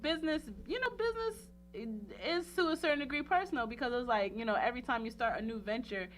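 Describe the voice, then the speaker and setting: A woman hosting a casual radio talk show, chatting in a playful, animated, slangy and emphatic way. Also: on the high side, quick pace, a little hiss or hum. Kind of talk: casual chat.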